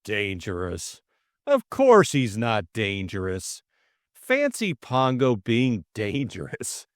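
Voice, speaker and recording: A jaunty young English gentleman sleuth as played by a male narrator, glib and teasing. His pitch swings freely through unhurried, drawling lines, recorded close and dry. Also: wildly swooping pitch, no room sound, full character voice. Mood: amused grumbling. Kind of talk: audiobook character acting.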